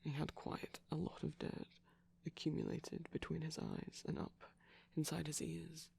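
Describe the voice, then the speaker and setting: A man talks in a hushed ASMR murmur that often drops to a whisper. His voice is breathy and husky with plenty of creak. He sounds gentle and shy, rambling hesitantly close to the mic. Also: on the high side, completely flat delivery, unhurried. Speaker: ASMR performer. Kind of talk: soft-spoken ASMR roleplay ramble.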